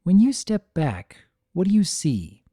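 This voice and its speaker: A performer voicing an older mare pony, a patient mother who explains things calmly and sensibly in a storybook reading. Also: mid-range voice, takes it slowly, husky, no echo, studio quality, voice pushed up, heavily acted. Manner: calm, sensible, gently teaching.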